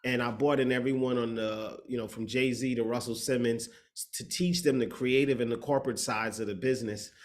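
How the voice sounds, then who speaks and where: A man from the Bahamas, a matchmaker and speaker, telling his story in a reflective, steady way in an interview over a video call, sometimes searching for words. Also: medium pitch, fairly quick, few pauses.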